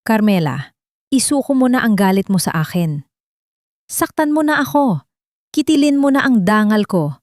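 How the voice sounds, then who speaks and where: A woman's voice: mid-range voice, lively intonation, normal speed, pauses often, darkish tone, no echo, studio quality, natural voice. A woman voicing a heartbroken, self-sacrificing female teacher in an audio drama, imploring and emphatic with a broken voice. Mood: anguished surrender. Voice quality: broken, anguished voice.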